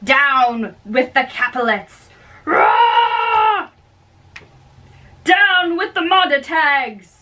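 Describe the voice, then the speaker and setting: A woman's voice: sits high, big pitch swings, drawn-out words, long pauses, sharp tone, lo-fi sound, voice pushed up, exaggerated voice. A woman playing a rowdy, bloodthirsty member of a street mob in an amateur stage play reading. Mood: rowdy shouting. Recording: distant microphone.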